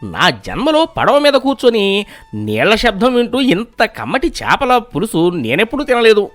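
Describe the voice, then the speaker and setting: A man voicing a cheerful village customer in an animated storybook, hearty and full of praise. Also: sits high, normal speed, full character voice. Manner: hearty, admiring.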